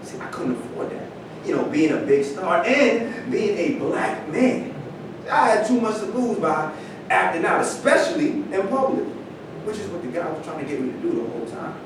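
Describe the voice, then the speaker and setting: A man's voice: very animated, moderate pace. A man playing a proud, indignant former football star telling his story in a staged reading. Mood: storytelling, resentful. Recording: echoey hall, distant mic, rumbling noise.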